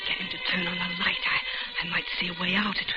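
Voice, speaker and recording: An actress playing a terrified captive woman in a radio suspense drama, halting and pleading as she humors her captor. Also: on the low side, huge pitch swings, over loud music. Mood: strained, resigned. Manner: breathless, halting, repeating herself in fear. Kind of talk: 1940s-style radio suspense acting with first-person narration. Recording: muffled old radio recording with crackling hiss.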